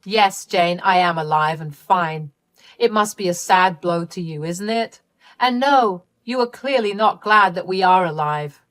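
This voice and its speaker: A woman's voice: on the low side, measured pace, dry recording, close to an everyday voice. Woman playing a stern, bitterly scolding mother. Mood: bitter, accusing. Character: stern, betrayed mother of adult daughters.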